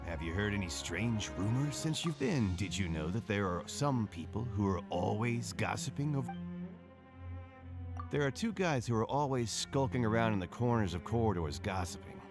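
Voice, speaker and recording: A man's voice: on the low side, animated, normal speed. A man voicing a friendly, chatty card-playing prison inmate in a video game, with a casual manner. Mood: gossipy. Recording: music behind the voice.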